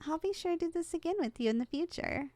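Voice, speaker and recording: A woman's voice: extremely high pitch, animated, fast, dark tone, no echo. A woman voicing a young slime succubus in training, affectionate and competitive, in a sweet, coaxing ASMR roleplay style that is easily flustered.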